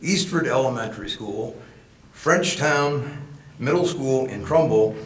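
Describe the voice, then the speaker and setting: A man from Connecticut delivers a measured, formal scripted speech as an association president in a courtroom. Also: medium pitch, expressive, a bit bright. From Connecticut, United States. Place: large echoey courtroom. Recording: echoey room, faint noise.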